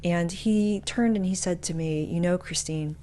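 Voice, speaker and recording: A woman's voice: lowish voice, moderate pace, warm tone, slight background noise. A woman speaking as a motivational speaker, casual and frank, with emphatic delivery and slangy asides. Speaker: motivational speaker and podcast host. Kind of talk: motivational talk with personal anecdotes.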